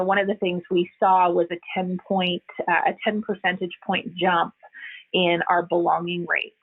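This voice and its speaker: Woman exec guest, husky and gravelly, earnest and halting, heard over a tinny phone line. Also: lowish voice. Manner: earnest, explanatory, frequent hesitations. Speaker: HR executive, podcast guest. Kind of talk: conversational interview answers.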